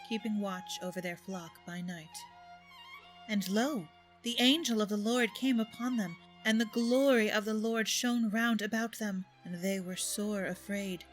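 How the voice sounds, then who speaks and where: A woman reading scripted narration as announcer in a measured, formal way. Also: medium pitch.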